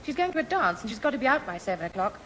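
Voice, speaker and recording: Bossy young lady, highish voice, very animated, prim, indignant, old radio comedy, faint hiss. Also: very fast. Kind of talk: radio sitcom acting. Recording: hissy old radio recording, slight hall.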